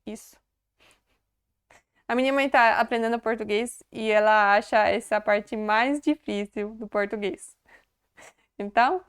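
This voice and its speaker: Chatty woman teaching, smooth higher voice. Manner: chatty, laughs often, repeats words slowly. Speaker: language teacher. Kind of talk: casual video lesson.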